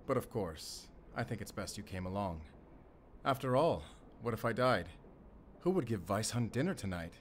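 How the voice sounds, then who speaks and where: An actor playing a composed, dryly joking German wolf hunter and spy in an audio roleplay, with a German accent and a measured, formal manner. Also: male voice, lowish voice, very animated, a little hiss or hum. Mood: wry, gentle. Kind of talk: cinematic audio roleplay acting, speaking to the listener.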